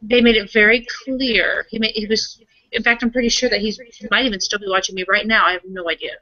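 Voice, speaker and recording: A woman's voice: medium pitch, steady tone, sharp tone, no echo, dull audio. A woman playing a tabletop roleplaying game, talking casually in character and often correcting herself as she explains. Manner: halting, self-correcting, explanatory.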